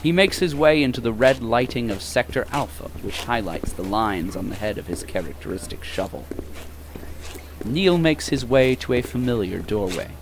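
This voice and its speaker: A man's voice: medium pitch, gravelly, slight background noise, lightly acted, rough recording. A man playing the narrator of a grimy dystopian audio drama, speaking in a theatrical, ornate, solemn way. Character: storyteller of a grimy dystopian city. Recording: music bed behind the voice.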